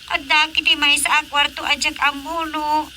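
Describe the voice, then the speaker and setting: An actress with an Ilocano Filipino accent playing a distraught, whiny wife in a comedic radio drama. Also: female voice, high-pitched, little pitch movement, moderate pace, bright, forceful tone, a little hiss or hum. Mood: panicked. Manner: wailing, melodramatic.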